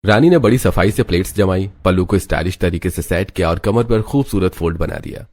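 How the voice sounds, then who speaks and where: Man, low pitch, scripted story narrator, cartoon drama, background music. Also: a little fast, darkish tone.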